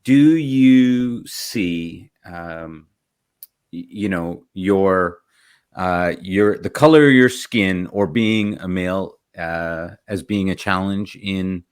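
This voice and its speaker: Host: man with a lowish voice, halting and tentative as he asks questions. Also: clean tone. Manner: hesitant, many fillers and restarts. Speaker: podcast host, interviewer. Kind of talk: casual interview conversation.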